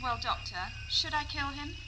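A woman playing a hard-headed, sharp-tongued young space adventurer in a science-fiction audio drama. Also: sits high, normal speed, noisy background, lightly acted. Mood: cold, threatening. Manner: blunt, sardonic. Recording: music behind the dialogue.

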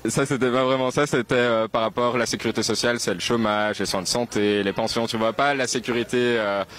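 A man's voice: medium pitch, steady tone, fast, a bit bright. A young man conducting street interviews outdoors, explaining patiently with many fillers into a handheld mic. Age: young adult. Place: outdoors on a city square. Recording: faint street noise outdoors.

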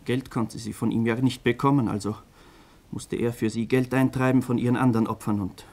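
An actor playing a troubled, ashamed teenage son in a German radio crime drama, speaking earnestly and hesitantly, as if confessing. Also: male voice, medium pitch, steady tone, brisk, darkish tone, lightly acted. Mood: bitter confession.

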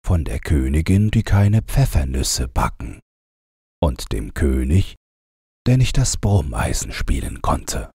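Guy reading fairy tales slowly, super raspy low-key dark voice, lively melody, expressive storyteller. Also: dry recording, studio quality. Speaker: audiobook narrator. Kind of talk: scripted fairy-tale narration.

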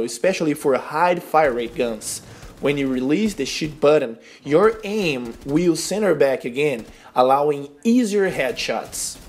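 A young man from Brazil with a Brazilian Portuguese accent, a non-native English speaker narrating a game tips tutorial in an earnest, simple instructional way. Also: fairly high pitch. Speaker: gaming channel host.

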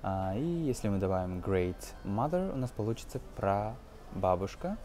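A man's voice: fairly low pitch, very animated, normal speed, warm tone, a little hiss or hum. A man in his twenties giving a casual English lesson over a film, explaining hesitantly and switching into English for examples. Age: 20s. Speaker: English teacher, video host.

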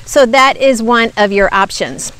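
A woman's voice: a little high, a little slow, fairly bright tone, clear, resonant tone, low-quality recording. A woman in her fifties reviewing products to camera outdoors, explaining in an earnest, emphatic teaching manner on a lapel mic. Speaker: nutrition ministry host. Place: outdoors by woods.

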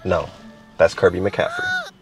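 A man's voice: sits low, huge pitch swings, a little slow, soft background music, heavily acted. Guy doing cocky trash-talking buddy, voice all over the place. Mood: brash. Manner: brash, joking threats, slangy. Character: wisecracking hired male friend, cocky.

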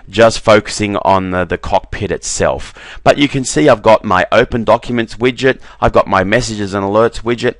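A man talking through a software demo over a screen recording, relaxed, with frequent "uh" fillers and doubled words. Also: on the low side, no echo. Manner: relaxed, repeats "very, very" and fills with "uh". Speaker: software demonstrator.

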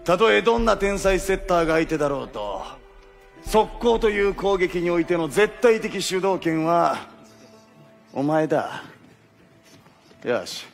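An actor in a stage play playing a gruff old volleyball coach who barks orders in a rough old-man dialect. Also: high voice, lively intonation, a little slow, pauses often, soft background music, full character voice. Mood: gruff, instructive. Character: gruff old male volleyball coach, crusty but encouraging. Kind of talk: theatrical stage play acting. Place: theatre stage.

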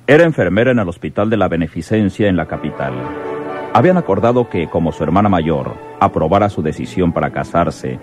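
A man's voice: sits low, expressive, brisk. A man narrating a radio serial in a dramatic, solemn storytelling style. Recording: music under the voice.

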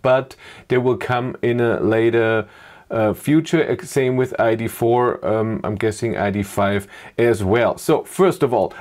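A man's voice: medium pitch, a little mellow. A middle-aged man with a German accent, commenting casually on slides from a small dim room and thinking aloud with many fillers and restarts. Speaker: YouTube car reviewer.

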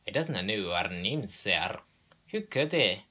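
A man's voice: on the low side, big pitch swings, slow pace, pushed and bright, husky, heavily acted. A man voicing cheeky twin storybook engines with a Scottish accent and a solemn show of innocence.

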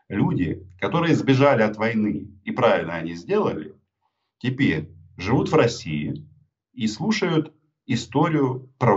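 A man in his thirties with a Ukrainian accent, arguing forcefully in an interview over a video call and pressing his points with rhetorical questions. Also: fairly low pitch. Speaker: journalist being interviewed. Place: indoor room with a poster on the wall. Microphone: webcam on a video call. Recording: dull lo-fi video call audio.

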